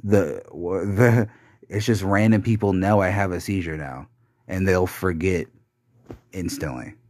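Young man, very deep voice, slow heavy delivery, bitter and profane, dry close sound. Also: a little mellow, dry recording. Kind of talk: personal confessional monologue.

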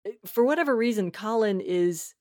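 A woman's voice: mid-range voice, lively intonation, normal speed, darkish tone, no echo. Giggly, effusive woman, dry close sound. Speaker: podcast guest, audio fiction writer. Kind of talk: casual interview chat.

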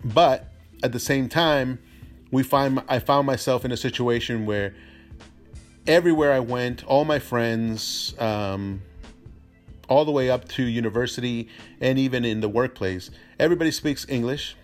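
A man whose first language is Spanish, giving an informal explanatory talk as a real estate agent, halting with frequent mid-sentence pauses. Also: medium pitch, soft background music. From immigrant to the US from a Spanish-speaking country.

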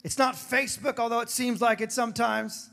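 A man preaching a sermon with a throaty, hoarse voice, moving between emphatic declarations and casual, joking asides. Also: very high voice, little pitch movement, fairly quick, fairly bright tone. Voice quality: gravelly, throaty. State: hoarse after singing. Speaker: pastor.

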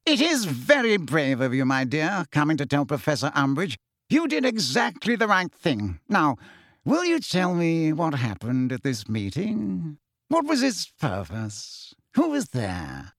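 Male reader as a pompous, jolly, blustering middle-aged minister, lively and higher, audiobook. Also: unhurried, dry recording, clean studio sound, heavily acted. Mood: hearty. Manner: blustering, heartily jolly, mocking.

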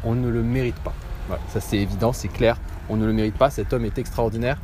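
A man's voice: mid-range voice, steady tone, fast, darkish tone, slight background noise. A man in his twenties giving a casual, rambling voice note outdoors on his phone, chatty and exclamatory. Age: 20s. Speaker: vlogger. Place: outdoors in the cold street.

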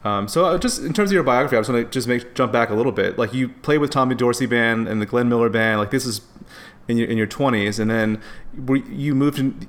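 A man's voice: medium pitch, fast, fairly bright tone. A man hosting a casual interview, asking rambling questions with many restarts. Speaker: podcast host.

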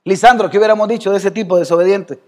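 A Salvadoran pastor preaching to his church in a high voice. He talks conversationally and quizzes listeners by name. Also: fairly even intonation, fast. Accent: Salvadoran Spanish. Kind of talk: sermon. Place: church hall.

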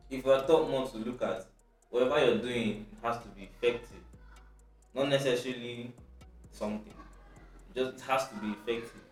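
A young man chatting casually as a podcast host, restarting often and jumping up in pitch when he gets excited. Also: normal speed, long pauses, recorded from a distance, faint music behind. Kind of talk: casual chat. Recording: echoey room, set back from the mic.

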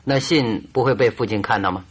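An actor playing a composed, inquisitive private detective in a radio drama, asking probing, measured questions with wry interjections. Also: male voice, mid-range voice, slightly rough, close to an everyday voice. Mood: curious, wry.

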